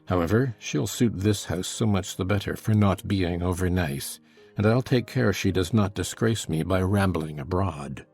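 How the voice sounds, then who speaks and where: An actor playing a scornful, cruel, brooding husband in a dramatized gothic novel, speaking with deliberate, sardonic contempt. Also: male voice, low-pitched, gravelly, darkish tone, breathy edge, soft background music, exaggerated voice. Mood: cold contempt. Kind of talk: dramatized audiobook acting.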